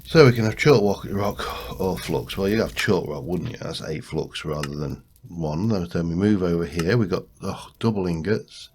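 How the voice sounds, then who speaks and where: A low-pitched man hosting a relaxed gameplay commentary, his voice stuffy and bunged up from a cold. He mutters and thinks aloud as he goes, talking half to himself. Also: moderate pace, few pauses, slight background noise. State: congested from a cold. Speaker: let's play host.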